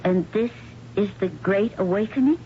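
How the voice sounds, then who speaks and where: An actress playing a devoted, anxious middle-aged wife in an earnest old-time radio drama style. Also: female voice, medium pitch, moderate pace, slight background noise, close to an everyday voice. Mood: indignant, hurt. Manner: earnest, solicitous. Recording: muffled vintage radio recording.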